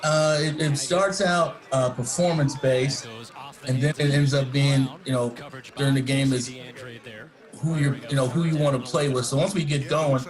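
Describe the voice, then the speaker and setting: A man's voice: mid-range voice, fairly even intonation, moderate pace, over music. A man, a defensive line coach, explaining things casually in a rambling, plainspoken, teasing way.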